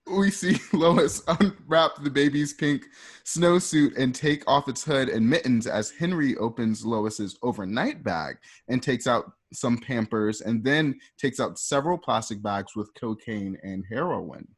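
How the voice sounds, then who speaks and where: A man's voice: mid-range voice, measured pace. A man narrating a radio drama, reading out scene directions step by step in the present tense.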